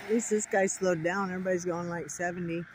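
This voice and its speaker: A woman's voice: on the low side, fairly even intonation, quick pace, heavy background noise. A chatty woman talking to her viewers while she films a roadside walk in winter. She keeps it casual and friendly, saying y'all a lot. Her phone picks her up outdoors beside a country road. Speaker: travel vlogger. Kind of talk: casual narrated road trip vlog. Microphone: phone camera held by the filmer.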